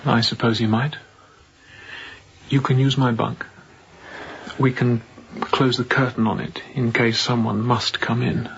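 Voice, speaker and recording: An actor playing a young, newly appointed sea captain, guarded and uneasy, speaking in clipped, cautious radio drama style. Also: male voice, level tone, a little slow, long pauses, lo-fi sound, natural voice. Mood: cautious, protective. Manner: low-voiced, clipped, cautious. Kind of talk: restrained radio drama acting.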